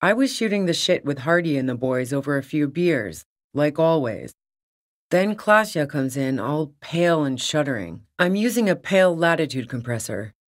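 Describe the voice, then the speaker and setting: A woman's voice: low voice, big pitch swings, normal speed. A woman voicing a tough, wary fugitive in a video game, blunt, wry and defiant, with profane, slangy talk. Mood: weary recounting. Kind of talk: video game character dialogue.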